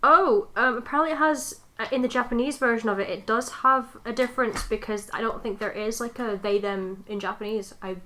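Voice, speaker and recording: A woman's voice: fairly high pitch, brisk, few pauses, sharp tone. A woman co-hosting a casual podcast, speaking informally and a little sweary. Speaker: podcast co-host. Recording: clear background noise with clicks.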